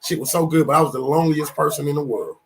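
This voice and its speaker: A man in his fifties talking casually on a podcast, animated and swearing, full of restarts as he tells stories. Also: a little high, fast talker, gravelly, crowd noise. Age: 50s.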